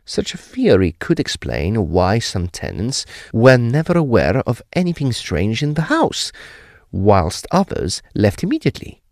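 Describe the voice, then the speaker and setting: An actor playing an earnest Edwardian gentleman, recounting a mystery in formal, emphatic phrases for an audio drama. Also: on the low side, huge pitch swings, measured pace, vocal fry, no room sound, studio quality, exaggerated voice. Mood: pondering. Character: earnest, animated Edwardian English gentleman telling a ghost mystery. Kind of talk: period audio drama storytelling.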